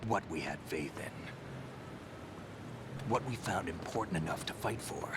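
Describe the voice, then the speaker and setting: An actor playing a grizzled veteran male soldier, speaking as a calm mentor in a low, half-whispered murmur, slow and reflective. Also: heavy background noise. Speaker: video game character. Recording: game cutscene with loud music under the voice.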